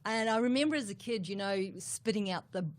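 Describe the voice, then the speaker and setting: A woman's voice: medium pitch, brisk. A middle-aged woman with a New Zealand accent, talking casually as an interview guest, full of fillers and repeated words.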